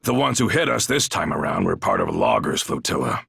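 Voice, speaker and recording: An actor playing a brash, blunt Norman warrior chief in a video game, gravelly and husky, with a gruff, folksy, commanding way of talking. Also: rumbling low, wildly swooping pitch, fairly quick, fairly bright tone, dry recording, clean studio sound, heavily acted. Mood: gruff, frank. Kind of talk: video game cutscene acting.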